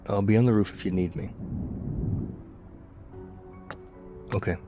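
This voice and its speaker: A low, mellow-voiced survivor of the zombie apocalypse, acted by a man, speaks hushed and measured over faint music. He is battle-hardened and weary, guarded yet quietly caring, in an intimate ASMR audio drama. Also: fairly even intonation, close to an everyday voice. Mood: reflective, resolute. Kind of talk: ASMR audio roleplay, intimate one-sided dialogue.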